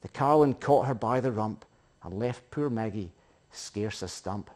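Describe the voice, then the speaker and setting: A middle-aged man with a Scottish accent giving a theatrical, emphatic recitation of a narrative poem in Scots, swelling and hushing as he goes. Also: fairly low pitch, a little slow. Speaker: poetry reciter. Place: living room, indoors.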